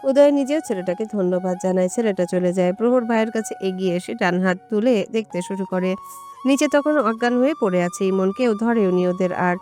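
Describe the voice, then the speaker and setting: Female narrator for romantic audio fiction, average-pitched voice over a faint music bed. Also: a little fast, warm tone. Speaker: story narrator.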